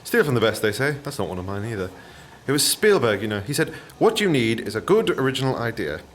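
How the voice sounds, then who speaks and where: An actor playing an affable, bumbling train passenger in a radio play, polite, hesitant and rambling in naturalistic dialogue. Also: male voice, mid-range voice, very animated, quick pace, a little hiss or hum, natural voice. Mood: wry.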